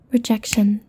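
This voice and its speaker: Succubus demon played by a woman: seductive, possessive, teasing, husky breath, higher-pitched, ASMR roleplay intimacy. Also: mellow, exaggerated voice. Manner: breathy, often whispered, teasing delivery.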